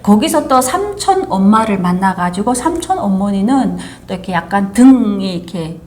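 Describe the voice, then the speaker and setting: A woman's voice: mid-range voice, expressive, moderate pace, warm tone, a little hiss or hum. Earnest woman from North Korea, chatting. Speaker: host. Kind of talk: casual chat.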